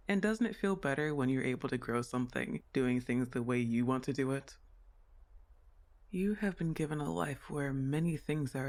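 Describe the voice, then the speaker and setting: A man voicing a comforting sleep paralysis demon in an ASMR roleplay, reassuring and unhurried as he speaks to the listener like a therapist with a teasing streak. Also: mid-range voice, natural voice.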